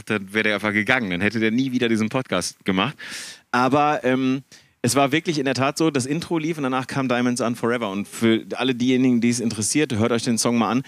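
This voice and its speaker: A man with a Ruhr German accent spins a long, meandering concert anecdote and restarts his sentences as he talks. His voice sits at an ordinary middle pitch. The recording is dry and close, with no room echo. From Ruhr area, Germany. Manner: rambling, self-correcting, animated anecdotes. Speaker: podcast participant. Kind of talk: casual storytelling chat.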